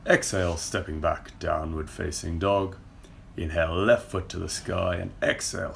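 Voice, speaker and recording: A young man leading a yoga warm-up flow, calmly cueing breath and movement, recorded from a camera across a car park. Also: sits low, gravelly, a little hiss or hum. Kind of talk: guided workout instruction. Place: indoor car park with brick wall. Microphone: far camera.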